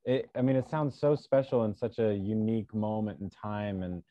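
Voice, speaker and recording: Low, mellow male interviewer, slightly muffled. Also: steady tone, normal speed, no room sound. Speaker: interviewer, host. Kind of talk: casual online interview. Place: small furnished room at home. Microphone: computer mic on a video call. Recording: dull video-call sound.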